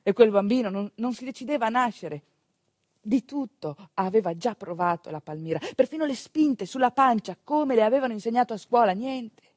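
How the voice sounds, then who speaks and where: A woman performing a theatrical monologue as a storyteller of rural midwifery, with a dramatic, emphatic delivery full of deliberate pauses. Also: on the high side, animated, darkish tone, no echo, clean studio sound. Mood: confiding, earnest. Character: female storyteller recalling a rural midwife's work. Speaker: stage actress narrating a monologue.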